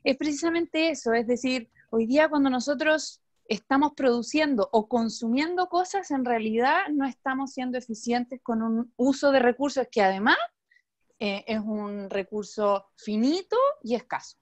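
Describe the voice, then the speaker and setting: A woman's voice: on the high side, moderate pace, dry recording. Chatty Chilean woman on a call. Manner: relaxed, chatty, many fillers. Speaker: guest, company general manager. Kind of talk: casual video-call conversation.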